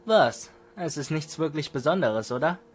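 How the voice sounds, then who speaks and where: A man's voice: fairly high pitch, lively intonation, moderate pace, close to an everyday voice. A man playing a cocky, taunting teenage bully with a smirking, mocking manner in an amateur audio drama.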